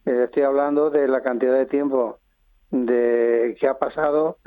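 Older man, interview guest, halting speech, tinny phone line, dry sound. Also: mid-range voice, flat delivery, no room sound. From Spain (Madrid). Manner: halting, with many pauses and restarts. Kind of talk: radio interview.

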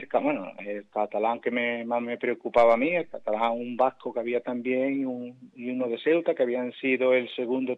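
A man, a cyclist calling in by phone, who speaks modestly and hesitantly, often restarting his sentences. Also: medium pitch. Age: 50s. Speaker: cyclist guest. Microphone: phone line. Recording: muffled phone line.

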